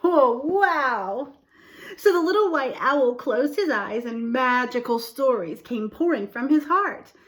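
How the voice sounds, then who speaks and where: A woman reading a picture book aloud to young children, pausing to explain things simply and ask questions. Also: sits high. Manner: expressive, asks the listener questions, explains simply. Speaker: storytime reader for young children.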